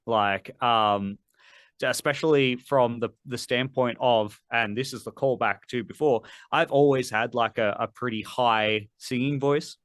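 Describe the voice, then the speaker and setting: A man's voice: mid-range voice, unhurried, a bit bright, clear, resonant tone, dry recording. Rambling man, dry close sound. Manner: rambling, filler-heavy, swears casually. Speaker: podcast guest, metal vocalist. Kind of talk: casual interview chat.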